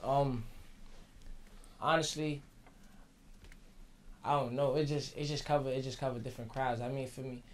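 A teenage man from the Bronx, a rapper guest answering in a laid-back, slangy, halting way in a radio studio. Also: medium pitch, fairly even intonation. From the Bronx, New York. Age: teens. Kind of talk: casual interview. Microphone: studio mic on stand.

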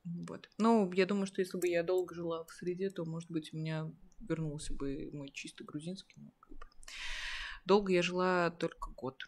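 A woman's voice: lowish voice, fairly even intonation, moderate pace. A woman hosting a live stream, reading viewer questions and answering in a thoughtful, hesitant way. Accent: Russian, slightly soft. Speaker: stream host reading chat.

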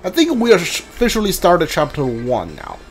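High-voiced man doing game commentary. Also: wildly swooping pitch, moderate pace. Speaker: video game streamer. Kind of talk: casual playthrough commentary. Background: game music.

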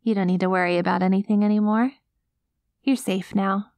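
A woman voicing a cute, gentle alien girl in an ASMR roleplay, naive and literal, with a hesitant, reassuring manner. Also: medium pitch, fairly even intonation, a little fast, no room sound, studio quality, natural voice. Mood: happy, reassuring.

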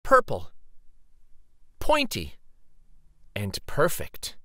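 A man narrates a children's story in a dramatic read-aloud style. He takes his time, leaving theatrical pauses for suspense. His pitch moves widely, and the sound is dry. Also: wildly swooping pitch, a bit bright, no room sound. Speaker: picture book read-aloud host.

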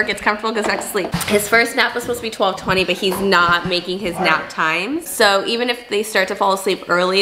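A young woman, a stay-at-home mom, talking casually to camera in a home video diary, hoarse and rambling with many fillers. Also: medium pitch. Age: young adult. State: hoarse, losing her voice. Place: home, bedroom and kitchen.

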